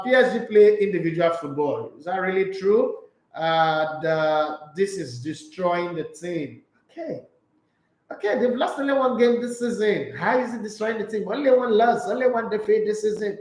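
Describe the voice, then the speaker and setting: A man hosting a sports talk show, reading news and viewer comments with animated, opinionated asides. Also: sits high, reverberant, low-quality recording.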